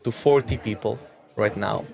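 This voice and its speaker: A man of thirty answering interview questions in a halting way, often repeating words. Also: lowish voice, creaky, music in the background. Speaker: watch brand executive being interviewed.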